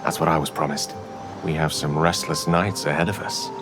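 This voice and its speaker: A man playing an eloquent, charming wizard in a fantasy video game, with a florid and deliberate storytelling manner. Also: rumbling low, fairly even intonation. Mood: wistful, intrigued. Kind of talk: video game dramatic acting. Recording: music behind the voice.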